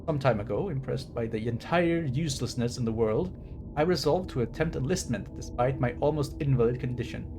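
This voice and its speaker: A man's voice: brisk, over music. A man giving bookish, wry commentary as a game streamer, sometimes reading passages out. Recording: clear rumbling background noise.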